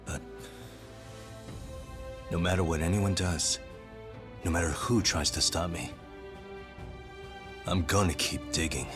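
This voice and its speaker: An actor playing a calm, determined private detective in a video game dub, speaking in a measured way. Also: male voice, low-pitched. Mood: resolute. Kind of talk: English game dub dialogue. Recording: music behind the voice.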